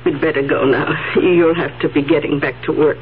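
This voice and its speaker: A woman's voice: low voice, steady tone, brisk, a little hiss or hum, lightly acted. An actress playing an anxious, grieving elderly aunt in a 1970s radio drama, hesitant and stammering, often repeating her words. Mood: subdued, resigned. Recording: tinny 1970s radio broadcast, music behind.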